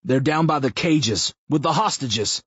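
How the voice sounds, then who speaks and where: A man's voice: fairly high pitch, animated, measured pace, fairly bright tone, slightly rough, no echo, voice pushed up, heavily acted. A male narrator voicing a frightened man who stammers and pleads in an audiobook. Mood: scared, pleading. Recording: muffled lo-fi audio.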